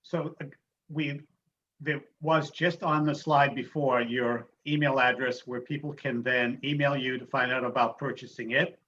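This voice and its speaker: A man hosts an online discussion in an informal way. His phrasing is measured and hesitant, and the sound is dull, lo-fi video call audio. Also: mid-range voice, steady tone, pushed and bright. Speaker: discussion moderator. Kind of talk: informal moderating of an online talk.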